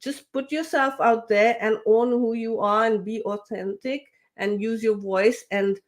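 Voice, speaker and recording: A woman's voice: on the high side, fairly even intonation, measured pace. A woman guest, a LinkedIn trainer and coach, talking casually in an interview with many fillers and self-corrections. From grew up with British English. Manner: chatty, many fillers and restarts.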